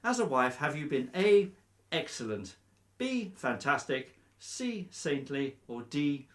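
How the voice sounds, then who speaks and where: A male actor playing a breezy, nosy, officious vicar in a radio comedy, briskly polite and openly biased. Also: a little high, sing-song. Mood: smoothly patronising. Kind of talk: radio play comedy acting.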